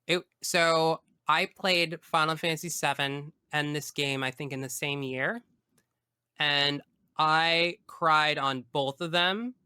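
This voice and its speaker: Bright, clear, higher-voiced man chatting effusively. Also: dry recording, clean studio sound. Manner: effusive, emphatic. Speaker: podcast guest. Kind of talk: casual enthusiastic chat about video games.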